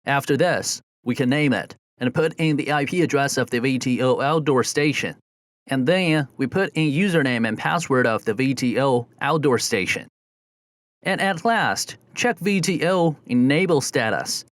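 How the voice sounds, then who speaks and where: A man reading a scripted step-by-step software tutorial in a methodical, instructional way, as a close voice-over. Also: mid-range voice, lively intonation, moderate pace, no echo. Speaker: tutorial narrator.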